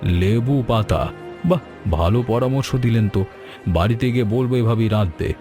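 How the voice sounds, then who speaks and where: A man's voice: medium pitch, moderate pace, music in the background, close to an everyday voice. A man playing a polite, mildly worried middle-aged village father in a cartoon story. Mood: grateful, polite.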